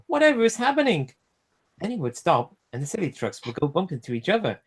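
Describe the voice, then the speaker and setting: A man reading a children's storybook aloud as a storyteller, in an animated style. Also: a little high, fast talker, breathy edge. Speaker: storyteller, streamer.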